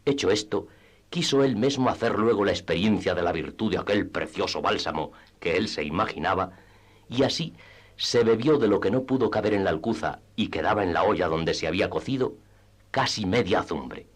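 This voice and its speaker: A man's voice: low voice, lively intonation, fairly quick. A man narrating a classic literary tale for radio theatre in a scripted storytelling style.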